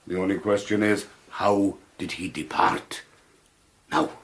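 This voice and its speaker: A man's voice: low voice, fairly even intonation, a little slow, a bit bright. A man acting a smug, blustering British Victorian police inspector in a radio drama, pompous and full of hesitant hedging. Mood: flustered bluster.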